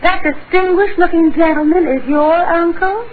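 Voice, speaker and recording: High-voiced poised sweetheart, old radio. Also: female voice, fairly even intonation, normal speed, slight background noise. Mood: poised, flirtatious. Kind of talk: 1940s radio comedy acting. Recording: dull old radio recording, loud studio audience.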